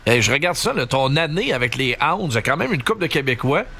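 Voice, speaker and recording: Bright, higher-voiced Quebec male interviewer. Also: very animated, fast, a little hiss or hum. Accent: Quebec French. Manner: informal, colloquial. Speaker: interviewer, host. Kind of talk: casual conversational interview.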